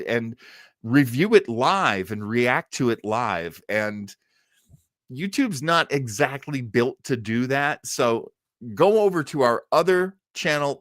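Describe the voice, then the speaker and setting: An American man hosts a casual livestream in a medium-pitched voice. He rambles amiably through announcements and side remarks in a chatty way. The sound is dry, with very little room in it. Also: a bit bright, no room sound. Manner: chatty, rambling asides, chuckling. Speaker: host of a live online show.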